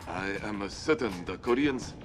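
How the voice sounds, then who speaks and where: An actor playing a formal, grave Japanese admiral in a video game, speaking with precise wording. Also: male voice, lowish voice, animated, unhurried. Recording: music playing behind.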